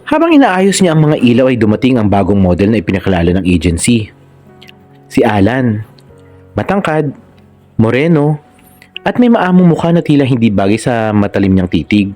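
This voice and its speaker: A man's voice: mid-range voice, very animated, normal speed, pauses often, mellow, faint music behind. A man with a Filipino accent reading a scripted romantic story as narrator. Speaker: narrator and channel host.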